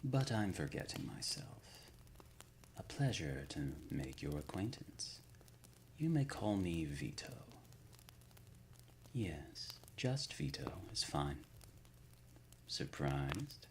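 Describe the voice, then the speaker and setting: A man acts out an ancient, shrewd lord of the supernatural in an intimate ASMR roleplay. The voice is very deep and slow, yet swings expressively in pitch. The phrasing is formal and measured, with courteous authority and a soft, often near-whispered delivery. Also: big pitch swings, creaky, noisy background, exaggerated voice. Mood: gracious, relaxed. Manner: formal, measured, erudite wording with deliberate pauses.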